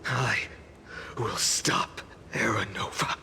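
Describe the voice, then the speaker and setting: An actor playing an anguished, grimly resolute young male hero in a video game, halting and intense, with a breathy, gravelly undertone. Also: fairly low pitch, full character voice. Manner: halting, intense, heavy delivery. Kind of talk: dramatic video game voice acting. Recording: studio voice over loud music.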